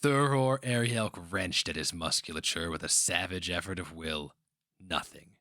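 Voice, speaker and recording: A man's voice: low voice, unhurried, bright, forceful tone, no room sound, studio quality. A man giving a theatrical, intense dramatic reading of scripted fiction as narrator.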